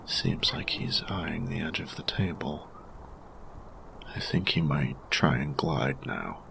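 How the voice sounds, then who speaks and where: A man playing a grumpy, workaholic artificer in an audio roleplay, tired and reserved, speaking formally and precisely with hesitant pauses in a gravelly voice. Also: very animated, noisy background, heavily acted. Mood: quietly pleased. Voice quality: gravelly, very low voice. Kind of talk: audio roleplay addressed to the listener.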